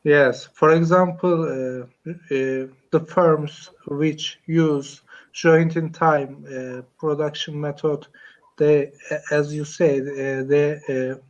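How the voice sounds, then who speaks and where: Slow, hesitant Turkish-accented man. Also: fairly high pitch, fairly even intonation, muffled recording. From Turkey. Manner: hesitant, many fillers, searching for words. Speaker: English learner, computer engineer. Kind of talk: casual online English lesson chat. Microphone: video call.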